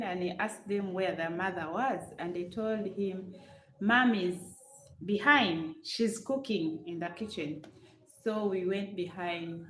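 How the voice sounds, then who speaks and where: A middle-aged woman with a Ugandan accent talks at an unhurried, chatty pace, repeating herself and speaking straight to her viewers. She is recorded in a room with a noticeable echo and a faint hiss underneath. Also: mid-range voice. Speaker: vlogger. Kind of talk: live-stream storytelling with chat replies.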